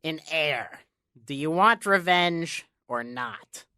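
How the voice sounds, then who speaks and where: A woman playing a sly, small, thin male stranger in an audio drama, coaxing and insinuating with dramatic pauses. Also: sits low, animated, bright, forceful tone, smooth, dry recording, clean studio sound, exaggerated voice. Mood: urgent, persuasive.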